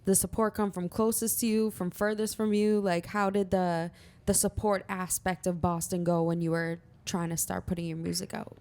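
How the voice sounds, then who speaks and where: A woman's voice: fairly low pitch, flat delivery, moderate pace, a little mellow. A young woman hosting a podcast, asking casual questions in a chatty, upbeat way. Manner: chatty, upbeat, laughs often. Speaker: podcast host.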